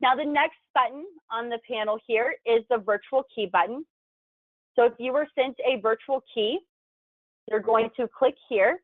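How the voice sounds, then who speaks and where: A woman's voice: a little high, normal speed, dry recording. A woman narrating an instructional video, explaining things step by step as a tutorial presenter. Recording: thin phone-like band-limited sound, dry.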